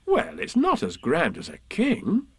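A man's voice: sits high, wildly swooping pitch, measured pace, slightly rough, dry recording, voice pushed up, exaggerated voice. A man voicing an earnest, kindly, imaginative little boy in a storybook, speaking in a faltering way. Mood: kindly, reassuring.

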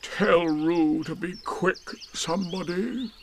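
A man's voice: high voice, slight background noise, pitched above the performer's own voice, full character voice. A man voicing a gloomy old grey donkey who grumbles, in a storybook reading. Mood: grumbling. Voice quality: gravelly, slow and grumbling.

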